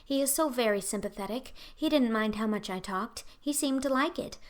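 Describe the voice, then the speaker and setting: A woman's voice: fairly high pitch, moderate pace, voice pushed up, lightly acted. A woman voicing a talkative, dreamy orphan girl in a storybook reading, effusive, pleading and melodramatic. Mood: earnest, eager.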